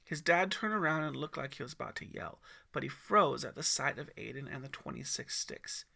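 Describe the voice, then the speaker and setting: Bright, creaky-voiced male audiobook narrator. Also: medium pitch, animated, brisk. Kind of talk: expressive scripted story narration.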